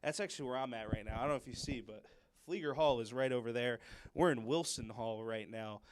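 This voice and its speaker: A young man reporting on a university campus, chatty and talking straight to the camera. His voice sits at a middle pitch. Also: fairly quick, dry recording. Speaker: student reporter. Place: campus outdoors and hallway.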